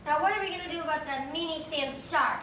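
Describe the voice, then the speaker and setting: A woman's voice: sits high, quick pace, close to an everyday voice. A woman playing a loyal, plain-spoken sea creature friend in a children's stage play. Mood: wary. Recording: very echoey, distant mic, loud noise.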